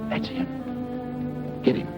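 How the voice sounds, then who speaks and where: An actor playing an urgent, excitable man on a small-town manhunt, calling out in a 1940s radio thriller. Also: slow, natural voice. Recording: muffled old radio recording, loud music behind.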